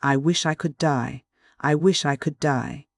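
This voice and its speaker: A man voicing a frightened, tearful young woman, pleading in a high strained voice. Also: steady tone, a little slow, gravelly, no echo, studio quality. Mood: despairing.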